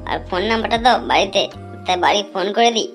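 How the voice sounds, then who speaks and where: A woman's voice: mid-range voice, expressive, very fast, faint music behind, lightly acted. A woman voicing a blunt, foul-mouthed young village clothes seller in a cartoon, given to grumbling and scolding. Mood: irritated scolding. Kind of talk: cartoon dialogue.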